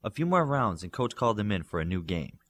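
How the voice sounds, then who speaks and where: A man's voice: lowish voice, sing-song, fast talker, fairly bright tone. A man reading a scripted fiction story aloud as an audiobook narrator, acting out the dialogue as he goes.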